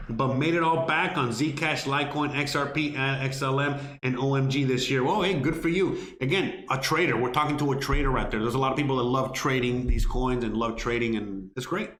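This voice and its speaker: A man's voice: mid-range voice, fairly bright tone. Blunt, swearing man in a roomy echo. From Miami, United States. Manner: blunt, profane, rambling with many fillers. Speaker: livestream host answering viewer questions. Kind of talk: casual live Q&A chat.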